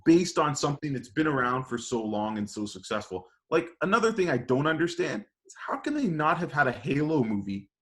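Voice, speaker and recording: Insistent man with a slightly higher voice. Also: big pitch swings, fairly quick, few pauses, a bit bright. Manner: argumentative, insistent, emphatic. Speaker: podcast panelist. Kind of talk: casual debate chat.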